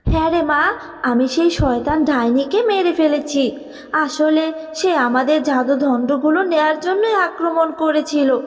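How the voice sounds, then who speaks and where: A loving fairy mother from a cartoon, protective and tender in her speech, with a woman acting her out. Her voice is high for a woman, soft and motherly. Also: measured pace, long reverb tail. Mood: gentle.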